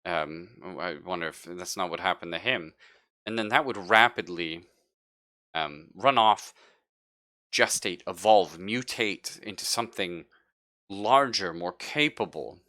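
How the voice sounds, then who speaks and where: A man playing a clinical, cagey doctor in a tabletop sci-fi horror game, speaking in a hesitant, measured way. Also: low-pitched, sharp tone, dry recording, studio quality. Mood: musing. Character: clinical, cagey doctor studying a virus outbreak.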